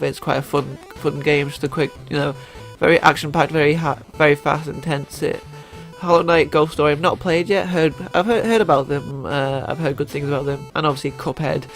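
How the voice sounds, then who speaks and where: Young male gaming YouTuber, congested from a cold, gravelly and a bit high, rambling with fillers over faint music. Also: a bit bright. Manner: rambling, many fillers and restarts. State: stuffed up with a cold. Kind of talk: casual gaming commentary.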